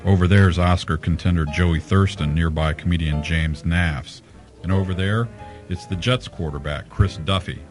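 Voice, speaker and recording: A man playing a gruff, irritable police commissioner in an audio mystery drama, brusque, sarcastic and impatient. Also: low-pitched, moderate pace, faint music behind, lightly acted. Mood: dry, dismissive.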